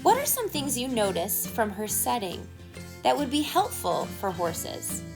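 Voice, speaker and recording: A woman reading a picture book aloud to children like a teacher, expressively, pausing to explain and ask questions. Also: fairly high pitch, creaky, music in the background. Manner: expressive, instructive, asking questions of young listeners.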